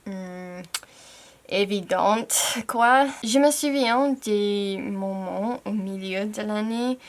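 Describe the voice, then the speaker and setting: A young American woman, a native English speaker, talking through a personal video in careful learner French. She speaks hesitantly, pausing and correcting herself as she goes. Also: mid-range voice. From United States. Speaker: language-learning YouTuber.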